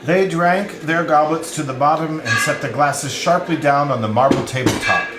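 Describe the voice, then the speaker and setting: A man's voice: on the high side, moderate pace, background noise. A man narrating a novel in a scripted, literary storytelling manner. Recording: roomy, echoing sound.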